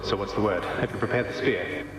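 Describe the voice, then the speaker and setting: An actor playing an affable young underworld prince in a video game, speaking in a polite, wry, musing way. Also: male voice, on the low side, fairly quick, natural voice. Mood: curious, friendly. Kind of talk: scripted fantasy game dialogue. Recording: cavernous echo, long reverb, music behind.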